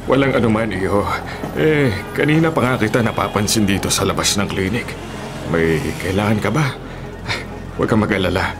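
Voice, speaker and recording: An actor playing a calm, reassuring older male psychiatrist in a Filipino radio drama. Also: fairly low pitch, moderate pace, music in the background, natural voice. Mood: calm, solicitous. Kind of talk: radio horror drama acting.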